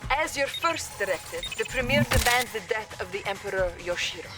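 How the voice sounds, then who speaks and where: A loyal Soviet intelligence officer played by a woman delivers a formal game briefing. The voice is mid-pitched and poised, with music clearly behind it. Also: lively intonation, a little fast, natural voice. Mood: brisk, formal.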